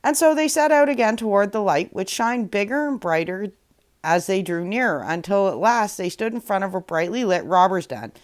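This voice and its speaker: A woman storyteller narrating a fairy tale aloud in an expressive read-aloud style. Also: mid-range voice, moderate pace.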